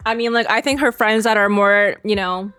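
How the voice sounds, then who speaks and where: A woman's voice: mid-range voice, normal speed, a bit bright, smooth, soft background music. A woman streamer giving casual game reaction commentary and reading dialogue aloud, with blunt, sarcastic asides.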